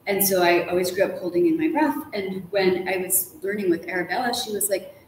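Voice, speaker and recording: A woman's voice: medium pitch, fairly quick, a little mellow. A middle-aged woman chatting casually as an interview guest, gushing and talkative, often saying "like". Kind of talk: animated casual conversation. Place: large hotel room, indoors. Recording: roomy echo with background noise.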